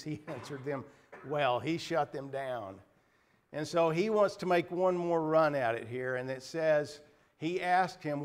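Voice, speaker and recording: A middle-aged man preaching a sermon in a small church, slightly hoarse, earnest and halting, clearing his throat often. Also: on the high side. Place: small wood-paneled church, indoors. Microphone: pulpit microphone at a distance.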